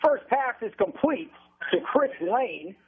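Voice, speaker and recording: Husky high-pitched American man, tinny broadcast line. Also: big pitch swings, slow pace. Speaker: sports play-by-play announcer. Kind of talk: live football play-by-play commentary. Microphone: live radio broadcast feed from stadium. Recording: narrow tinny phone-line radio broadcast sound.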